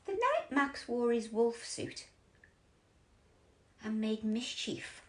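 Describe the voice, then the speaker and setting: A woman reading a children's picture book as a bedtime storyteller, theatrical and drawn out, often dropping into breathy whispers. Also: fairly high pitch, big pitch swings, takes it slowly.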